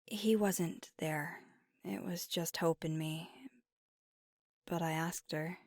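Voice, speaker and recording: A woman playing an earnest, conflicted young werewolf girlfriend in an intimate ASMR roleplay, speaking softly and candidly, often near a whisper, close to the mic. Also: low-pitched, fairly even intonation, no echo. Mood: quietly awed. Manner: deliberate, candid, casually profane. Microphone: close microphone, dry.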